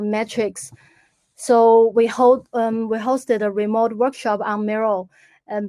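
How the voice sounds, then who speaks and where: A woman's voice: a little high, a little mellow, no echo. Young woman giving a careful online talk. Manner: careful, hesitant with fillers. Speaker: speaker. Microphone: video call.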